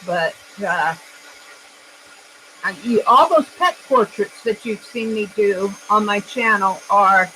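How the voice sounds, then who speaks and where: A woman hosting an art livestream in casual chat, with a loud, projected voice, rambling and thinking aloud as she demonstrates. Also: medium pitch, slow, slight background noise, poor audio.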